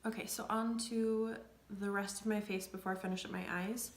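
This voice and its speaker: A young woman coming down with a cold, chatting through a makeup tutorial in a rambling way, often dropping to soft near-whispers. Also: medium pitch, steady tone, brisk, very noisy. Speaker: beauty vlogger. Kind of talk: casual makeup tutorial chat. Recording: echoey room.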